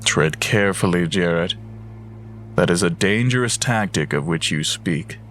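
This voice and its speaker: An actor playing a stern, noble warden lord in a fantasy audio drama, formal and commanding. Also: male voice, deep voice, a bit bright, a little hiss or hum. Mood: grave. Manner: formal, measured, authoritative.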